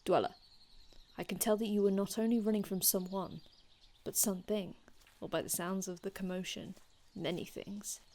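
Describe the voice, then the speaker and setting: A woman playing an aloof, formal male vampire healer from another time in an ASMR roleplay, speaking in a measured, haughty, hushed manner with archaic phrasing. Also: medium pitch, fairly even intonation, lightly acted. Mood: curious, wary. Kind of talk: ASMR roleplay, scripted in-character monologue.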